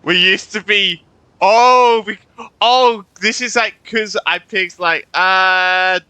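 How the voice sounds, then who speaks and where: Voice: medium pitch, slow, sharp tone, clean tone, no echo. A game streamer giving casual, effusive live commentary, slangy and prone to swearing. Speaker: streamer playing a video game.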